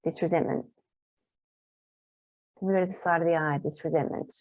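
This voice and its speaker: A woman practitioner calmly guiding a tapping exercise in repeated phrases, her voice a little hoarse. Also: deep voice, fairly quick, no room sound. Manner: calm, repetitive guiding phrases. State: slightly hoarse, clearing throat. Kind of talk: guided tapping lesson. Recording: dull, muffled dry recording.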